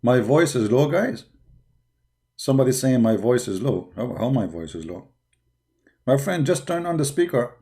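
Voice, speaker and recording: Mellow-voiced sarcastic male host. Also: medium pitch, very animated, moderate pace, pauses often. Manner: mocking and sarcastic, talks to viewers. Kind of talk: casual reaction commentary on a livestream.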